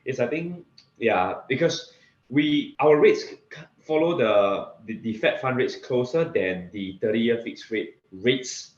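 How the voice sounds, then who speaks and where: A man from Singapore with a Singaporean accent, talking casually as an interview guest in a halting way, often repeating words. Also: mid-range voice, expressive. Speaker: interview guest, real estate investor. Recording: echoey room, slightly dull sound.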